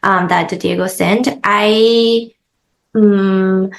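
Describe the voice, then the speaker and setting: A woman filmmaker answering interview questions in a mid-pitched voice. She speaks with care and often stops for "um" and "uh" while she finds her words. It sounds like a relaxed conversation. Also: creaky. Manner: halting, many "um" and "uh" pauses. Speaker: filmmaker guest.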